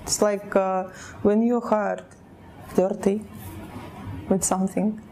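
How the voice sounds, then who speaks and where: A woman from Russia with a Russian accent, speaking halting, broken English as she tells her personal story in an interview, often pausing to search for words. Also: medium pitch, level tone, mellow, a little hiss or hum.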